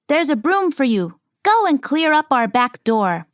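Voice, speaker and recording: A woman's voice: high-pitched, big pitch swings, measured pace, no room sound, studio quality, pitched above the performer's own voice. Woman voicing a commanding little fairy-tale dwarf man. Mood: demanding. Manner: commanding, pronouncing. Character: three little male dwarfs, magical, stern judges.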